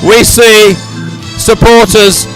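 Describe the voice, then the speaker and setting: A middle-aged man commentates live at a sporting event with music playing loudly behind him. His voice sits high for a man. He ad-libs effusively and sentimentally, often saying a phrase again for emphasis. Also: steady tone, slow, low-quality recording. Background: loud stadium music at a medal ceremony.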